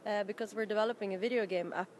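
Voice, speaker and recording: A woman's voice: medium pitch, fairly even intonation, rapid-fire, a little mellow. Chatty Norwegian-raised woman, noisy venue. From Norway. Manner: chatty, rambling, laughs often. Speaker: band member. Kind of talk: casual interview chat. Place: busy festival venue. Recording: background noise.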